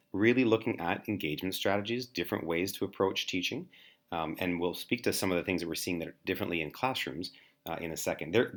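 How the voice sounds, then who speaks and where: A man, a school administrator, giving an earnest presentation to parents, with frequent fillers and restarts. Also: low-pitched.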